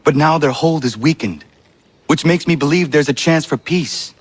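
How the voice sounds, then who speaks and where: A man's voice: a little high, lightly acted. An actor playing an earnest, proud young male Assassin who speaks formally and with measure in a game cutscene. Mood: earnest, hopeful. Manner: formal, measured, avoids contractions. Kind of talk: video game cutscene acting.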